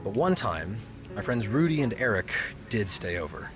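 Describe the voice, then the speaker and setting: A reflective grown son voiced by a man looks back on his strange family with wry understatement. The voice is on the low side, telling the story as audio drama narration over guitar music. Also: big pitch swings, normal speed. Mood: wry, storytelling. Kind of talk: scripted first-person audio drama narration.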